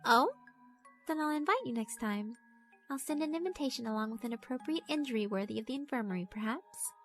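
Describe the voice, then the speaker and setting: A woman's voice: on the high side, expressive, brisk, soft background music, voice pushed up. A woman voicing a mischievous, predatory girl spirit in a horror visual novel, teasing and politely condescending. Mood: mischievous, knowing. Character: mischievous, predatory yokai schoolgirl, doll-like, elegant.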